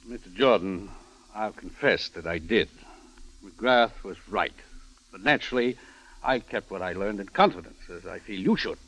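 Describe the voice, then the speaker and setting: Casting: slippery, polite attorney for vintage radio, husky and creaky, guarded and formal. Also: male voice, sits low, lively intonation, moderate pace, a bit bright, exaggerated voice, poor audio. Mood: guarded, uneasy. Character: guilty, evasive male attorney, outwardly polite. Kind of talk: 1940s radio drama acting.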